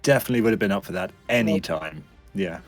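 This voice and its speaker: A man's voice: low voice, soft background music. A man appearing as a guest on a livestream and cracking dry jokes in a casual chat. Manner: dry joking, quick. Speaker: voice director guest.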